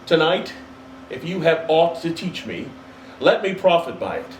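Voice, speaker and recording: Humbled old storybook miser, acted by a male reader, earnest and submissive, raised pitch, roomy sound. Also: very animated, unhurried. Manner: earnest, pleading. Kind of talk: storybook character reading. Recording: roomy, distant mic, faint hiss.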